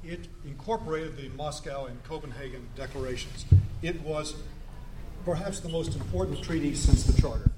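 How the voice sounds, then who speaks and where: A soft-voiced man giving a formal panel address, measured and deliberate, with pauses. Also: fairly high pitch, heavy background noise. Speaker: panelist, law professor.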